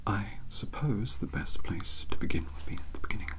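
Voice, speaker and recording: A man playing a young, bookish, awkward English mentor in a soft-spoken ASMR roleplay, hesitant, polite and rambling. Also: on the low side, a little fast, pitched above the performer's own voice, close to an everyday voice. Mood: earnest, hesitant.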